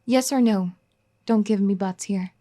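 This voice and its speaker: A woman's voice: mid-range voice, measured pace, close to an everyday voice. A woman playing a bossy, caring young wife. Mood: scolding, sassy. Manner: bossy teasing scolding that turns gentle and pleading. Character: firm, caring young wife scolding an overworking spouse. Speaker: ASMR roleplay performer. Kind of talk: audio roleplay addressed to the listener.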